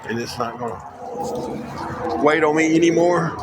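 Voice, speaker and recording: A man with a raspy voice, chatting casually with viewers on a live stream, first from a parked car and then while walking outside. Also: a little high, slow pace, background noise. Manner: rambling and folksy, talking to viewers.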